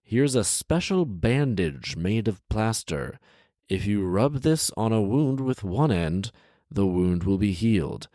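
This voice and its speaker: A man's voice: lowish voice, animated, slow pace, dark tone, no echo, clean studio sound. A man voicing an impulsive, bargaining genie in a comic storybook reading, in a casual, exclamatory manner. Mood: formal, rewarding. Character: male genie, impulsive, pleading, bargaining, sheepishly apologetic.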